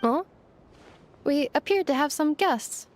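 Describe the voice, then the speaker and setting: A woman's voice: high-pitched, animated, slow pace, slight background noise. A woman playing a polite, literal-minded robotic girl in a video game, speaking formally and precisely. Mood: earnest, matter-of-fact.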